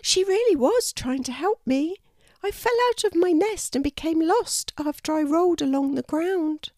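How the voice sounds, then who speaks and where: Woman voicing a baby kookaburra chick, storybook, trembling, timid, very high, dry close sound. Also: moderate pace, a little mellow, no room sound. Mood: reassuring. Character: tiny scared baby female kookaburra chick.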